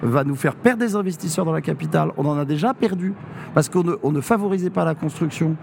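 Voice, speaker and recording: A man's voice: a little high, fast talker, creaky, darkish tone, slight background noise. A man, a district mayor from Normandy, answering in a radio interview, hesitant with many fillers but firmly emphatic. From Normandy, France.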